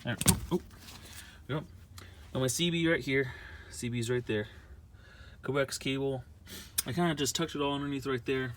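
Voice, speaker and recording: A man's voice: medium pitch, normal speed, long pauses, slight background noise. A young man talking in a casual, unscripted how-to vlog, rambling and repeating himself in a conversational way. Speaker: amateur video maker showing a car project.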